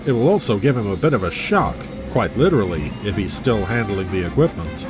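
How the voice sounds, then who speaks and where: A man performs a brisk rescue pilot in an audio story. He delivers crisp, commanding reports with lively intonation. Music plays clearly underneath. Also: on the low side, lightly acted. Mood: confident.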